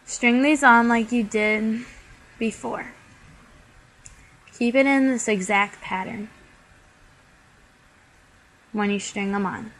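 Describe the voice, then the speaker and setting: A woman's voice: fairly high pitch, slow pace, pauses often, a bit bright, rough recording. A young woman, stuffy from a cold, chattily talking through a bead craft tutorial step by step. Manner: chatty, many "like" fillers.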